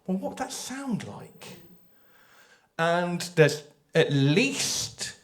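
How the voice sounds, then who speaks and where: A man speaking informally on a panel as an audio drama creator, telling how the work was made in an animated way. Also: high voice, drawn-out words. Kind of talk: panel talk, informal explanation.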